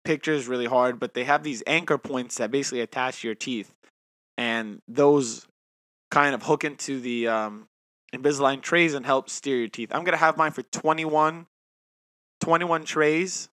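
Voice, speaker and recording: A man's voice: mid-range voice, normal speed, pushed and bright, dry recording. Young man talking with a lisp. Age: 20s. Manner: talks with a lisp from new aligner trays; chatty, self-mocking. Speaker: podcast co-host. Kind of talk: casual chat.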